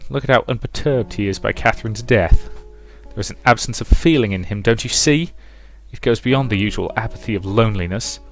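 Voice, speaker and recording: A man's voice: on the low side, brisk, hardly any pauses, natural voice. A man voicing a domineering, suspicious mother who presses her child insistently, in a visual novel. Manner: insistent, probing, emphatic. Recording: music behind the voice.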